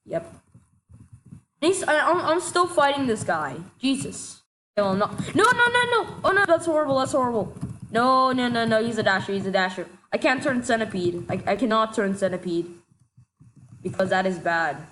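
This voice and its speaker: A woman giving casual gameplay commentary, excitable and quick to shout in frustration, a little sick. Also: a little high, a little hiss or hum, low-quality recording. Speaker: gaming commentator.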